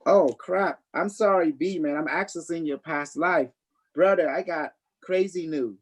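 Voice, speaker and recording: Psychic livestream host, boastful and playful, mid-pitched, loose improvised chat in non-standard grammar. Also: unhurried.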